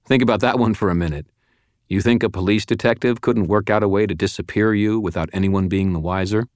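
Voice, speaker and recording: A man's voice: fairly low pitch, fairly quick, darkish tone, no room sound, close to an everyday voice. A man playing a dry, tough, wisecracking detective in an audiobook, with a casual, sardonic way of talking.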